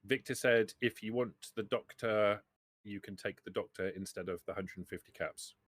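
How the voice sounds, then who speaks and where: A man running a tabletop roleplaying game as game master, narrating off the cuff in a halting, deliberate way. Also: lowish voice, steady tone, a bit bright, no room sound.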